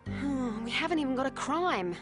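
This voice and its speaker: A woman playing a brisk, practical fairy-tale police detective in a cartoon, questioning and no-nonsense. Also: sits high, expressive, over loud music. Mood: inquiring.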